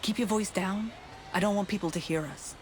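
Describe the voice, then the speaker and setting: A woman's voice: medium pitch, a little fast, lightly acted. A woman playing a wary, frightened informant in a video game cutscene, guarded and earnest. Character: wary, frightened female informant wanting to disappear. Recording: loud vehicle and outdoor ambient noise.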